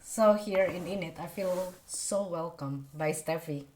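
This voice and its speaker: Young Indonesian woman host, lowish voice, loose and chatty with frequent laughs. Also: unhurried, a little mellow, slight background noise, low-quality recording. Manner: chatty, laughing often, many fillers like "you know". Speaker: podcast host. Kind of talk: casual interview chat.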